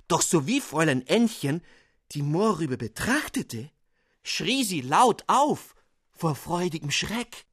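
A man narrating a fairy tale in an expressive radio reading. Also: medium pitch, breathy edge, no room sound, clean studio sound. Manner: expressive storytelling.

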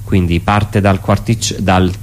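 A man explaining his performance piece informally as a guest, in a halting way with frequent self-corrections. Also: sits low, steady tone. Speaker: performance artist, interview guest. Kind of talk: informal spoken presentation of own show. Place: radio studio.

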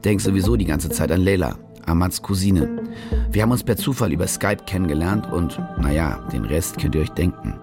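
A cynical reporter for a radio play, very low and gravelly. He narrates his own schemes with boastful, sardonic casualness, swinging freely between punchy brags and offhand asides. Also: expressive, a little fast, loud music behind the voice. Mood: wry confessional. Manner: sardonic, self-promoting, casual. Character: cynical, boastful German war reporter who fakes stories. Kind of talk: first-person radio drama narration.

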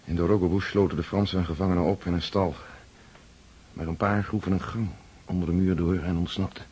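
Low, husky, weary former prisoner. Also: male voice, fairly even intonation, fairly quick, warm tone. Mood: sombre. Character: weary, troubled freed prisoner of war recounting hardship. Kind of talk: radio drama acting.

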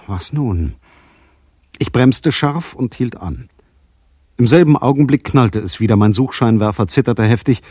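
Terse noir detective narrator for radio crime drama, husky and on the low side, dry studio sound. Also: male voice, darkish tone, dry recording. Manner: terse, matter-of-fact. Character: hard-boiled male private detective recounting a case. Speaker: narrator and lead actor.

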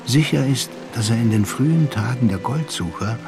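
An actor playing a composed, reflective storyteller, narrating a literary radio play in a measured, articulate way. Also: male voice, medium pitch, lively intonation, gravelly, over music. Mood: wry storytelling. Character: reflective first-person storyteller of a seafaring tale, composed.

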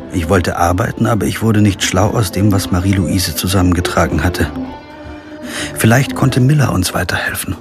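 Low-voiced lawyer narrating a crime story. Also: male voice. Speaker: narrator and lead actor. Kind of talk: first-person crime radio drama narration and dialogue. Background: music.

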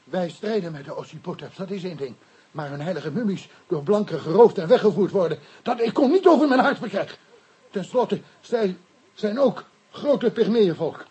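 A man playing a frightened, naive Pygmy mission convert in a radio play, speaking halting, stammering, broken Dutch with inverted word order. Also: high-pitched, expressive, a little mellow, slightly rough, full character voice. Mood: earnest, confiding.

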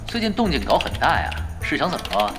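Laid-back male gamer with lively pitch swings. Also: quick pace. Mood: teasing. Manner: nonchalant, teasing. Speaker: voice actor in a radio drama. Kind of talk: naturalistic audio drama dialogue. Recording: music behind the voice.

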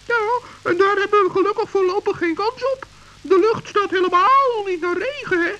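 Tiny male forest gnome played by a woman: extremely high voice, anxious yet plucky, for children's radio drama, on a dull tape. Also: normal speed, fairly bright tone. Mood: friendly concern. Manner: exclaiming, stammering when nervous. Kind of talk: children's radio play acting. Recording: dull, hissy old radio recording.

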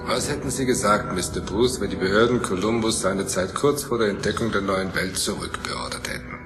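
A man performs a middle-aged male scientist who commands his own spaceship, grandiose and obsessed. His voice is on the low side, his delivery theatrical and utterly self-assured. Music plays behind him, as in a sci-fi audio drama. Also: fairly quick, lightly acted. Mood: proud, defiant. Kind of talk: dramatic audio play acting.

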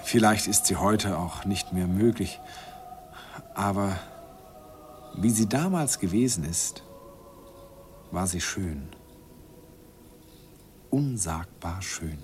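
A man's voice: deep voice, a little mellow. An actor playing a wistful man who narrates a remembered love in a tender, sentimental 1950s radio drama style. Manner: sentimental, slow, deliberate, tender. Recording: 1950s radio recording, faint noise.

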